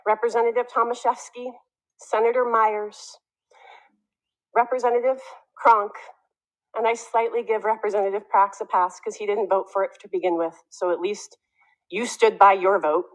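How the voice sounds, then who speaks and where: A woman speaks formally at a public meeting as its presiding officer. Her voice is of average pitch. She addresses her listeners directly, in an emotional and reproachful manner. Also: level tone, moderate pace, rough recording. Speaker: school board presiding officer.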